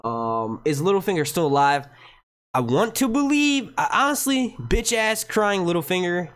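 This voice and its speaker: A man hosting a casual live Q&A stream, talking excitably and swearing freely into a gated streaming mic. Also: on the high side. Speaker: livestream host. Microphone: streaming mic with noise gate.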